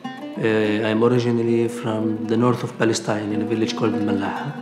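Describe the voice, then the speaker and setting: A man's voice: lowish voice, level tone, fairly quick, echoey room, over music. A man from Lebanon, giving an earnest, explanatory documentary interview.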